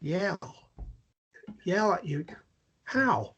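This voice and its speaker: A man's voice: sits high, expressive, extremely slow, bright, forceful tone, husky, dull audio, exaggerated voice. A man acting a protective, insistent character in a naturalistic drama table read, bluntly probing and stumbling into repetitions. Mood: appalled, angry.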